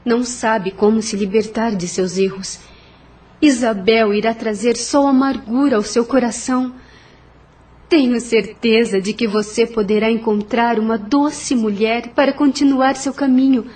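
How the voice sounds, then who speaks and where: A woman in an audio novel playing the gentle, caring spirit of a dead wife and mother. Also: fairly high pitch, fairly even intonation, a little slow, recorded from a distance. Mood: pleading, caring. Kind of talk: audio novel dramatic acting.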